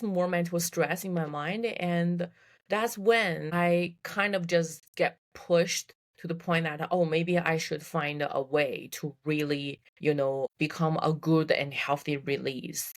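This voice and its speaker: A young woman from China, a podcast guest, talking in a thoughtful, casual way and often restarting her words. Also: sits low.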